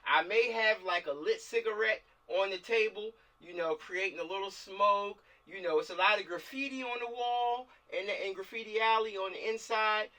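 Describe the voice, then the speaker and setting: A man with a fairly high, forceful voice directs actors in casual talk, circling back and repeating phrases as he explains. He sounds a little away from the mic on an uneven video call. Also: moderate pace, few pauses, recorded from a distance. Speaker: film director leading a table read. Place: online video call, distant microphone.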